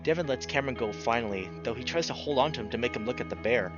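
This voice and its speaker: A man's voice: mid-range voice, fast talker, muffled recording, music in the background. A man narrating a visual novel in a dramatic, immersed storytelling way, as a player reading aloud.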